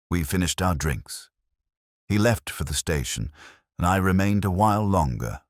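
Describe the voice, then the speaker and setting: Man acting melancholy detective narrator, very low voice, expressive rise and fall, measured, literary, scripted radio mystery. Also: no room sound, studio quality, full character voice. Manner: measured, literary, brooding delivery.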